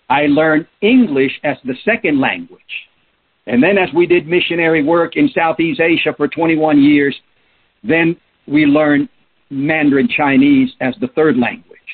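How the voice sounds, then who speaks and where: Husky preacher on a tinny line. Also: male voice, fairly high pitch, normal speed. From Peru. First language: Spanish. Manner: earnest, emphatic, asks rhetorical questions. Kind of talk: sermon. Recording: tinny phone-like sound.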